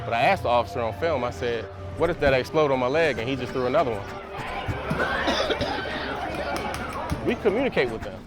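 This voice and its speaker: A man speaks in a plain, reflective tone of ordinary pitch. He is being interviewed outdoors on a city street. Music runs under the clip. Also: animated, moderate pace, pauses often, a loud crowd. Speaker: protester. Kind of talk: interview testimony.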